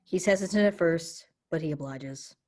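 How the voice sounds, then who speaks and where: Low-voiced woman reading stage directions. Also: moderate pace. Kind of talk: scripted narration of a play.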